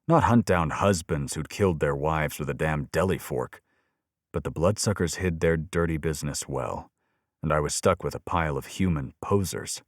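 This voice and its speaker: Low-voiced man narrating a crime novel. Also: no echo, studio quality. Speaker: audiobook narrator. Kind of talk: scripted first-person crime fiction narration.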